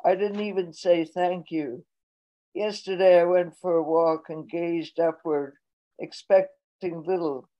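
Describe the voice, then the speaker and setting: Older woman slowly reading her poems. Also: on the low side, steady tone, warm tone. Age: 80s. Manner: slow, measured reading voice. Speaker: poet reading her work. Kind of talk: poetry reading. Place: home room, indoors. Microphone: laptop webcam on video call. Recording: dull lo-fi video call audio.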